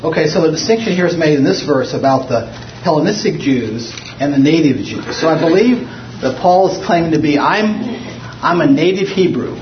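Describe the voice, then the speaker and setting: A man's voice: a little high, lively intonation, normal speed, a little hiss or hum. Man leading a Bible study, distant echo. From United States. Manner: rambling, with frequent restarts and fillers. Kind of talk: informal Bible study teaching. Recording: muffled, roomy, distant mic.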